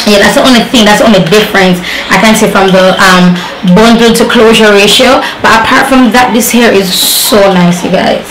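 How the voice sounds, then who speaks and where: A woman's voice: medium pitch, normal speed. Chatty woman vlogging in an echoey room. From Jamaica. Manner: chatty, rambling, many fillers and restarts. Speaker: beauty vlogger reviewing hair. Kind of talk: casual talk to camera. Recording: echoey room with faint noise.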